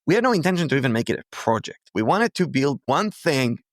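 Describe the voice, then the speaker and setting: A man's voice: mid-range voice, sing-song, quick pace, no echo, studio quality. A man speaking as an interview guest, a startup founder talking in a conversational, storytelling way.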